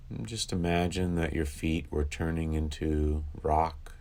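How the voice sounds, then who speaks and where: A man with a remarkably low, deep voice leads a guided meditation for sleeplessness. He speaks calmly and instructively. He leaves long silent pauses between phrases. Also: gravelly.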